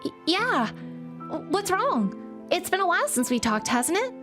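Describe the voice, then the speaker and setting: A woman voicing a bubbly, caring young woman friend who talks in a bright, insistent way. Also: extremely high pitch, sing-song, moderate pace, over music, pitched above the performer's own voice. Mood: nervous.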